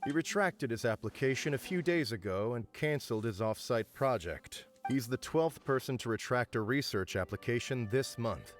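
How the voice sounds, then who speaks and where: A man's voice: mid-range voice, lively intonation, moderate pace, few pauses, faint music behind, lightly acted. A man voicing an officious research office supervisor in a video game, formal, polite and businesslike. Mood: stern but polite. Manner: formal, polite, mildly reproachful.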